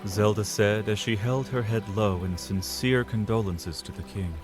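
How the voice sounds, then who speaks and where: A man delivers scripted narration for a fantasy audio drama. His voice sits a little low, and prominent music plays behind him. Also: fairly even intonation, moderate pace.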